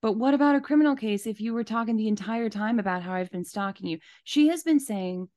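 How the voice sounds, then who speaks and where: A woman's voice: on the high side, fairly quick, darkish tone. A woman co-hosting a podcast in casual, opinionated discussion, speaking emphatically and indignantly and repeating points for stress. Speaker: podcast co-host.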